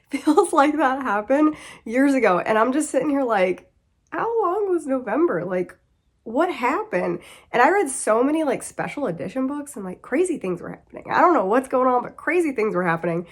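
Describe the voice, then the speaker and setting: A woman's voice: sits high, very animated, normal speed. A woman in her thirties with a sick, congested voice, chatting about her monthly reading at home, laughing and breaking off mid-sentence. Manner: chatty, laughing, self-interrupting. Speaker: book vlogger. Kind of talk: casual reading wrap-up chat.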